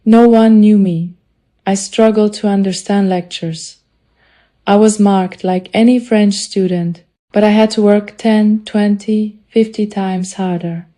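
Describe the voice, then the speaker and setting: Mellow woman giving earnest personal testimony, foreign accent. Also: medium pitch, fairly even intonation. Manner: earnest, deliberate, short measured phrases. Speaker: student telling a personal story. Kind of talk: prepared personal testimony, read aloud.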